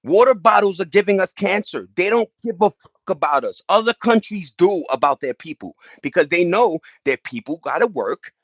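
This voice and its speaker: A man's voice: high-pitched, animated, moderate pace, few pauses, fairly bright tone, no echo, highs cut off. A man with an American accent talking casually on a livestream, passionate and emphatic, rambling in slangy street talk. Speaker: livestream host reading and answering viewer chat.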